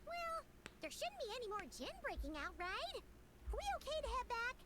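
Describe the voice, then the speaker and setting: Squeaky, very high childlike fairy girl. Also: moderate pace. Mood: chipper. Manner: excitable, refers to self in third person. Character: tiny cheerful childlike floating fairy companion, talkative. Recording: noisy game stream, clicks.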